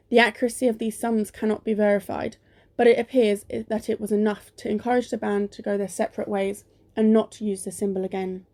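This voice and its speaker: A fairly high-voiced, level-headed female sleuth from an amateur radio play, acted by a woman. She is clever and quick to stand her ground, and speaks in a measured, deliberate way. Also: creaky, darkish tone. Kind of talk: amateur radio play acting, often reading articles aloud. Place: recorded alone at home.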